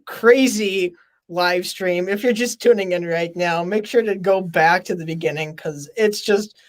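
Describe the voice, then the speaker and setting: Minnesota guy hosting a live stream, loud and laughy, rambling. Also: sits high, a little slow. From Minnesota, USA. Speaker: podcast host. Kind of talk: casual live-stream chat.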